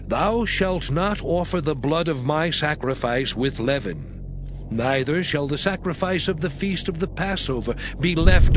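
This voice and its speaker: A man playing a solemn, commanding biblical lawgiver in a dramatized scripture reading, declaiming in a measured way. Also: fairly high pitch, noisy background. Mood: stern, commanding. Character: solemn commanding male biblical voice giving divine law. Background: music.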